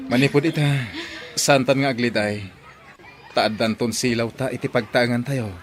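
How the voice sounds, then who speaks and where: A man's voice: mid-range voice, fairly even intonation, moderate pace, crowd noise, lightly acted. A man playing a doting, pleading uncle and suitor in an Ilocano radio drama. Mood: tender, happy.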